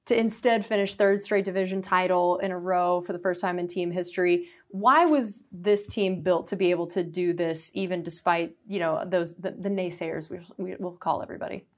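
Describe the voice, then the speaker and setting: A woman's voice: fairly low pitch, fairly even intonation, normal speed, few pauses. A young woman, a team reporter hosting a radio show, asking long, wordy questions with fillers.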